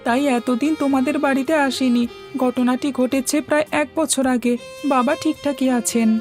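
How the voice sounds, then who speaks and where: A woman's voice: sits high, fairly even intonation, normal speed, darkish tone, faint music behind, lightly acted. A woman voicing an affectionate, anxious young boy, a little brother in a fairy-tale cartoon.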